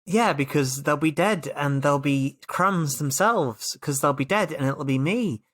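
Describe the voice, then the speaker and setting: Player in a tabletop game, chatty n emphatic, middle pitch, repeats words. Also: a little slow, fairly bright tone. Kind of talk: casual in-game chat.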